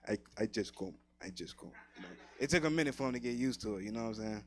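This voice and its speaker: A man's voice: lowish voice, lively intonation, quick pace. A man hosting a comedy show on stage, bantering in casual slangy talk.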